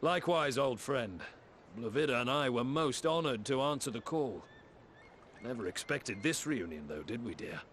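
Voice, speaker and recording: A middle-aged actor playing a friendly, hearty researcher in a game cutscene. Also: male voice, medium pitch, expressive, moderate pace, fairly bright tone, a little hiss or hum.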